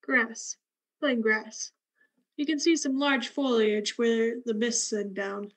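Radio play scientist, acted by a woman: high female voice, cool, dry, curious. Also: fairly even intonation, slow. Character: calm, wryly curious female space expedition scientist.

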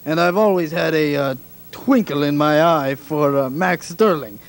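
A man playing a pompous middle-aged ship commander, long-winded and rambling as he addresses a crowd. The voice sits a little high for a man, in a comedic cartoon redub. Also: unhurried. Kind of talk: fan parody redub of a cartoon.

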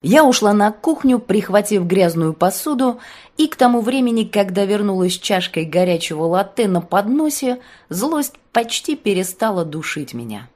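A woman's voice: medium pitch, very animated, moderate pace, darkish tone. A woman narrating a novel as an audiobook in a dramatic scripted reading style.

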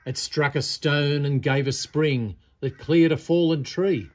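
A man's voice: on the high side, a little slow, a little mellow. A man reading a picture-book poem aloud as a storyteller, in a theatrical, dramatic style. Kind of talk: expressive read-aloud poetry recitation. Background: birdsong.

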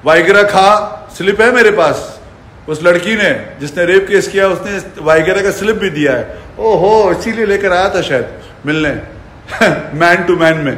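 A man's voice: high voice, fairly bright tone. A man in an angry, taunting, sweary rant to his phone camera, recorded in an echoey living room. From India. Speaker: fitness personality. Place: furnished living room indoors. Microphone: phone at arm's length.

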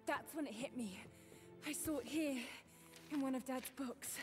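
A driven young woman adventurer from a cinematic video game, played by an actress. Her voice is high, earnest and urgent, with a defiant edge, over a clear musical score. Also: moderate pace, music in the background, close to an everyday voice. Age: young adult.

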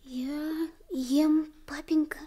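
A woman's voice: high voice, fairly even intonation, drawn-out words, dark tone. A woman playing an earnest, childlike little boy in a Soviet radio play. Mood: timid. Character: earnest little boy Vasya, an admiral's son.

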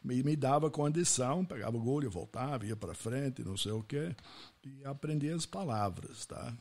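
A man with a husky voice, hosting the podcast and sharing his own stories in a reflective way. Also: medium pitch, animated, normal speed, darkish tone. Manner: reflective, anecdotal. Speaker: podcast host. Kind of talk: casual interview talk.

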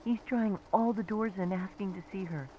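A woman's voice: mid-range voice, normal speed, close to an everyday voice. A woman playing a frightened mother phoning for emergency help in a video game. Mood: anxious. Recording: muffled phone call with faint noise.

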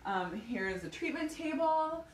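A woman's voice: high voice, lively intonation, measured pace, distant mic. A woman, chatty and bubbly, giving a casual live tour of a small clinic while walking. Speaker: physical therapy clinic owner giving a tour. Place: small clinic indoors, hallways and treatment rooms. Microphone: phone camera held by another person. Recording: roomy phone audio from a few feet off, faint hum.

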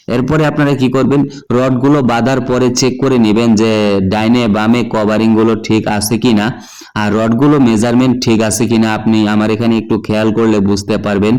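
A Bangladeshi man presents a practical tutorial as a working tradesman. His voice sits at a middle pitch. He speaks directly to viewers, going through each step and repeating his points as he goes. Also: darkish tone. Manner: repetitive step-by-step explaining, addressing viewers directly. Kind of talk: instructional demonstration.

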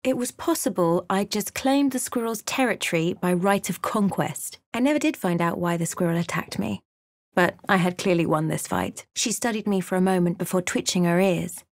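An actress playing an observant, wry woman adventurer in a fantasy video game, with measured, dramatic storytelling delivery. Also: fairly low pitch, dry recording, clean studio sound, close to an everyday voice. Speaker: voice actor for a fantasy role-playing game companion or player character. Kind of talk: scripted video game voice lines, narration and character remarks.

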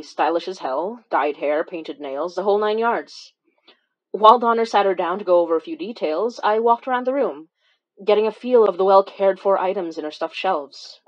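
A woman's voice: lowish voice, highs cut off, lightly acted. An actress playing a wry, weary young woman who recounts eerie events in a conversational, hesitant way, as in a horror fiction podcast. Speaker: audio drama lead narrator. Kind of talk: confessional first-person horror podcast narration.

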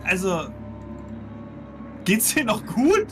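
A man's voice: sits high, huge pitch swings, slow. A man playing a tabletop game and chatting casually. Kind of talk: casual chat. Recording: music playing behind.

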